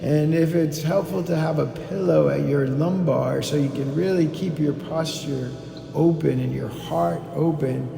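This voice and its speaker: A man leading a guided meditation as a teacher, giving calm, deliberate instructions with pauses. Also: on the high side, gravelly, crowd noise. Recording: echoey hall with background noise.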